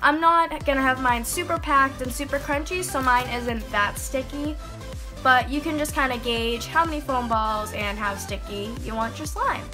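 A high, bright-voiced young woman recovering from a cold talks through a craft tutorial with bubbly enthusiasm. Music plays steadily beneath her voice-over. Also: normal speed. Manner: bubbly and enthusiastic, explaining step by step. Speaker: slime-making channel host. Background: background music.

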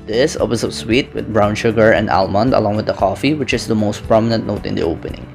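A young man with a lowish, gravelly voice narrates a product review in a steady, methodical way. He describes each detail carefully, like a prepared script. Background music runs clearly under his voice. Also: fast. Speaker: fragrance reviewer. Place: small indoor room with brick-pattern wall.

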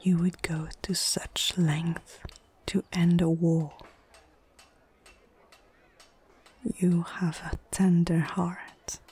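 Woman playing a slow, breathy orc chieftain. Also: on the low side, flat delivery, a little mellow, full character voice. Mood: wary, skeptical. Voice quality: breathy, husky whisper. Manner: slow, deliberate, short measured phrases. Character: stern female orc chieftain, proud, menacing, weary. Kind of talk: whispered ASMR fantasy roleplay.

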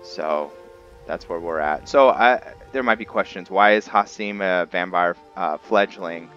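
A man's voice: deep voice, unhurried, fairly bright tone, muffled recording. A man giving casual roleplay commentary on a video game, often hesitant and trailing off mid-thought. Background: background music.

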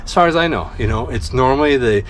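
A man's voice: medium pitch, lively intonation, fast talker. A man in his fifties walking through a van electrical setup in a rambling, self-deprecating, think-aloud way, filmed on a handheld camera inside a van. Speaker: van-build vlogger. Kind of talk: casual how-to walkthrough. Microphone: handheld action camera. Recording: noisy van interior.

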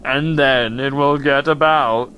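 A man's voice: mid-range voice, steady tone, bright, forceful tone, slight background noise. A man playing a gloomy, self-pitying donkey in an audio drama, with mournful, understated complaints. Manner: slow, mournful, understated, long-suffering complaints.

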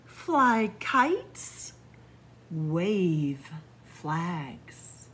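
A middle-aged woman librarian reading a picture book aloud to young children in a library, in a sing-song way with soft hushed asides. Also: fairly low pitch, very animated, extremely slow, slightly rough. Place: library with bookshelves, indoors.

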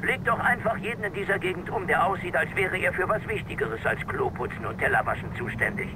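Casting: brash, sardonic middle-aged American agent for a game, fairly high-pitched, recorded over loud background noise. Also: male voice, animated, brisk. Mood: sardonic, casual. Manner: sardonic, glib. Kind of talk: video game dubbing.

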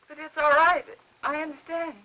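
An overwrought American housewife in a 1950s Hollywood melodrama. Her high voice is anxious and halting, that of someone fragile and full of guilt. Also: little pitch movement, bright, forceful tone, rough recording. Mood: shaken.

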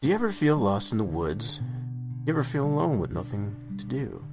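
A man playing a folksy, shady pitchman in a spoof radio advert. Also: very animated, fairly quick. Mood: mock-salesy. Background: plucked guitar music.

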